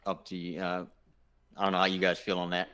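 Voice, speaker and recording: A man with a low voice and a bright, punchy tone chats casually as a gaming show co-host. He leans on his points and repeats phrases for emphasis. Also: monotone, brisk, clean tone. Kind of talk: casual panel chat.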